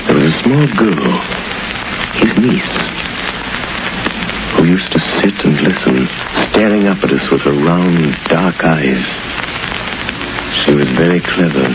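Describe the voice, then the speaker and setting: An actor playing a mysterious, composed crime fighter with secret powers, in old radio drama style with ominous, deliberate delivery. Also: male voice, low voice. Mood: reflective. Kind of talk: 1930s-40s radio drama acting. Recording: muffled, noisy old radio recording.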